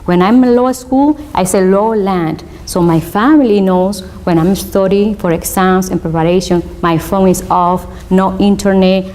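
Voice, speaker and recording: A woman with a Spanish accent, a native Spanish speaker and law student, giving an earnest, motivational interview in halting non-native English. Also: medium pitch, a little mellow. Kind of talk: interview, personal testimony.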